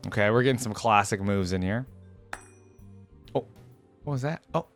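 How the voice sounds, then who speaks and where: A man's voice: fairly low pitch, moderate pace, faint music behind. A man hosting a reaction video, giving casual, conversational game commentary and thinking aloud as he goes.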